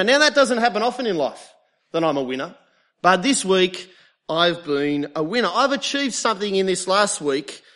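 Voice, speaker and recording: An Australian man in his thirties delivers a sermon in a light, high-pitched voice. He opens with dry, self-deprecating jokes, then settles into earnest teaching and prayer. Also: bright, forceful tone. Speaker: preacher.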